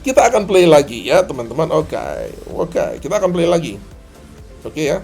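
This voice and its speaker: A slightly hoarse man giving a tutorial as a trading instructor, didactic, often asking and answering his own questions. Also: fairly high pitch, big pitch swings, normal speed, darkish tone, soft background music. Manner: didactic, asks and answers own questions, addresses viewers as friends. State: hoarse, often clearing throat. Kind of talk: tutorial commentary on a chart replay.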